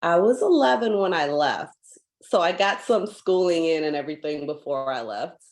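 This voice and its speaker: Low-voiced chatty woman from Guyana. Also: normal speed. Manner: chatty, many "like" fillers, animated when telling stories. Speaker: guest, foundation founder. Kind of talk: casual interview conversation. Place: home in Hawaii.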